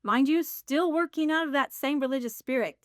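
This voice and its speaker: A woman's voice: high voice, brisk, dry recording, studio quality. Chatty woman in her sixties. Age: 60s. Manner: rambling, self-deprecating, laughs often. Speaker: guest. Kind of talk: casual faith conversation.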